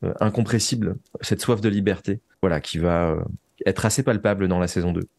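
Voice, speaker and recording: A man's voice: sits low, fairly quick, creaky, darkish tone, dry recording. A man speaking as an interview guest, a writer reflecting earnestly and often searching for his words.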